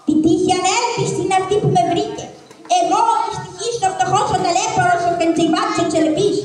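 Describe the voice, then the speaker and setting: A woman's voice: extremely high pitch, fairly even intonation, a little mellow. A woman voicing a timid, fawning male sidekick in a live shadow puppet show, whining and full of self-pity. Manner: whiny and self-pitying. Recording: echoey hall from far off, with an audience.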